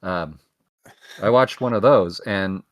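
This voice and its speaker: A young man co-hosting a casual podcast, rambling through tangents and poking fun at himself. His voice sits on the low side and is recorded dry and close to the mic. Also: takes it slowly, dry recording. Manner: rambling, self-mocking jokes. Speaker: podcast co-host. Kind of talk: casual chat.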